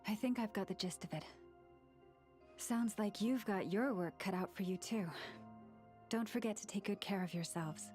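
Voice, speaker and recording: Soft-spoken, composed game priestess played by a woman, mid-pitched, faint score behind. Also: faint music behind, lightly acted. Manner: measured, soft-spoken, formal. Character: gentle young woman, a calm and thoughtful spiritual leader. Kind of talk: video game voice acting.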